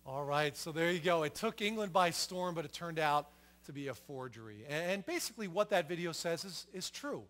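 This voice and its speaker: A man's voice: a little high, animated, fairly quick, fairly bright tone, slight background noise. A middle-aged man, a pastor, giving an explanatory, emphatic sermon from a church stage on a lapel mic, with fillers and restarts. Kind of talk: sermon with slides.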